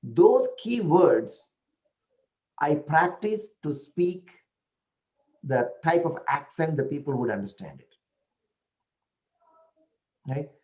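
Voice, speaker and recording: A man's voice: fairly high pitch, very animated, slow pace, pauses often, a little mellow, rough recording. A man with an Indian accent who speaks an Indian language natively, telling stories in a casual talk and often checking in with "right?" and "you know".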